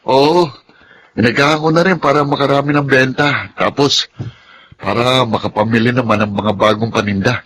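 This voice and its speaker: A man's voice: mid-range voice, normal speed, a bit bright, a little hiss or hum, natural voice, rough recording. A man playing an earnest, hardworking young street vendor and friend in a melodrama. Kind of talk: Tagalog radio-style melodrama acting.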